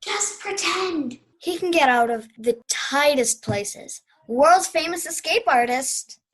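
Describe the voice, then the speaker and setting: A young woman acts out an eccentric old aunt from vaudeville days in a student radio play. The voice is high, with grand, theatrical delivery full of proud reminiscence. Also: expressive, slow pace, a bit bright, exaggerated voice, rough recording. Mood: grand, nostalgic.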